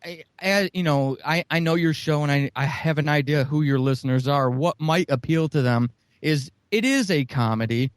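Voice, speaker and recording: Middle-aged man, podcast guest, rambling and profane, slightly high voice, dead-dry sound. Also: moderate pace, no echo. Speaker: podcast guest, audio drama writer. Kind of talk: casual podcast interview.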